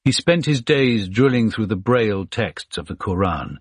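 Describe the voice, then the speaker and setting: A male audiobook narrator reading a thriller in a measured, even storytelling manner. His voice is low and husky. The sound is dry and close. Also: no room sound.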